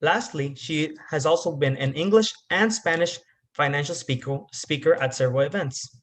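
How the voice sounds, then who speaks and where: A man reads a formal, prepared introduction of a guest speaker, his voice sitting slightly high for a man. He delivers it at a steady, measured pace. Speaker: event host introducing the speaker. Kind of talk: read introduction.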